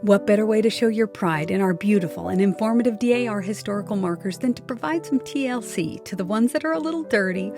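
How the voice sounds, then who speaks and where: A woman's voice: medium pitch, expressive, fast talker, hardly any pauses. Friendly lady host reading promos, music going underneath. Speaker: podcast host. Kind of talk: scripted announcement and interview questions. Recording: music playing behind.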